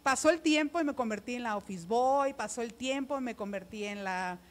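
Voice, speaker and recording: A middle-aged woman with a northern Mexican accent and a fairly high voice tells stories as an interview guest. She chats casually and expressively, holding a microphone close in a small studio. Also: brisk. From Ciudad Obregón, Mexico. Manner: animated, expressive, often quoting others. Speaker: interview guest, radio announcer. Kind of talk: casual chatty storytelling. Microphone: handheld microphone held close.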